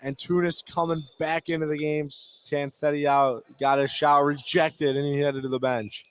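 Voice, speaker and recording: A man's voice: a little high, level tone, normal speed. Man calling basketball over background noise. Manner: clipped running phrases, following each play. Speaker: play-by-play commentator. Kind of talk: live high school basketball radio commentary.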